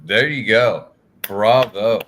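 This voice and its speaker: A man's voice: lowish voice, fairly bright tone, a little hiss or hum. A man in his fifties hosting a podcast interview, talking casually with hesitant fillers. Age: 50s. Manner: slow, hesitant, many fillers. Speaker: podcast host.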